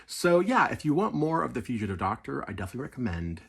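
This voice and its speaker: A man's voice: mid-range voice, sing-song, fast, gravelly, fairly bright tone, slightly rough. A middle-aged man giving an enthusiastic, conversational, casual review.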